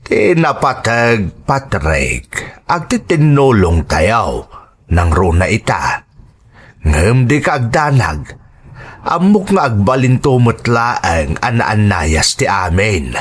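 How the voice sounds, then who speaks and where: A man playing a calm, supportive middle-aged uncle in an audio drama, measured and reassuring. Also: on the low side, breathy edge, full character voice.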